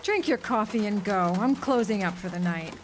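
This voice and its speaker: A woman's voice: medium pitch, expressive, moderate pace, natural voice. An actress playing a tough, jaded small-town diner woman, dry and sardonic, in a noir radio drama. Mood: jaded, challenging. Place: outdoor amphitheater stage. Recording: faintly noisy outdoor live recording.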